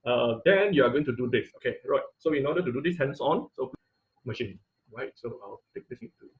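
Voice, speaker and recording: A man teaching an online class in an informal, halting tutorial style, often checking in with listeners. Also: medium pitch, expressive, phone-quality sound. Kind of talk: informal tutorial with live demonstration. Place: online video call class.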